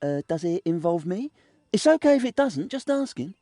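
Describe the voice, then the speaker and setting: A cowardly fantasy-game thief, his voice raised and jumping around in pitch. He is hesitant and wryly reluctant, heard dry and close. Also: big pitch swings, moderate pace, dark tone, no room sound, soft background music. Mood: nervous wariness. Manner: hesitant, sardonic.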